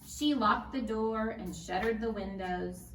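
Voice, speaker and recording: A woman's voice: mid-range voice, measured pace. A woman reading a children's story aloud as a storyteller, in scripted narration. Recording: roomy, distant mic, faint noise.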